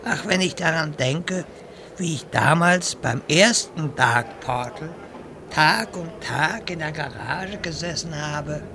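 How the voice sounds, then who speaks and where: A man's voice: fairly high pitch, takes it slowly, background noise. A man playing a nostalgic, dreamy old geezer in a fantasy comedy audio drama. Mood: wistful.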